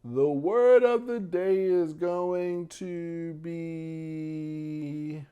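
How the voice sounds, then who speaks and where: A man hosting a bedtime read-aloud for young children, speaking in a hushed, gentle way and talking directly to his little listeners. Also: fairly high pitch, extremely slow, smooth. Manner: addresses young listeners directly with questions and praise.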